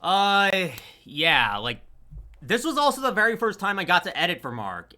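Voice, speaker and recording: A man's voice: high voice, huge pitch swings, normal speed, bright, forceful tone. A man chatting casually about his creative work, rambling and often restarting his sentences. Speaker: audio drama voice actor and editor. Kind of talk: casual chat.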